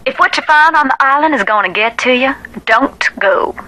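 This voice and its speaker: A woman's voice: sits high, lively intonation, moderate pace, sharp tone, low-quality recording. An actress playing a grave, superstitious woman in a video game, earnest, folksy and cautioning, heard through a telephone filter. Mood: grave, urgent. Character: grave, superstitious woman urgently asking for help.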